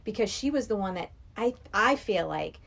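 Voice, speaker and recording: A woman's voice: medium pitch, animated, normal speed, creaky, fairly bright tone. A middle-aged woman from Massachusetts, a podcast guest chatting casually and enthusiastically about movies. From Massachusetts, United States.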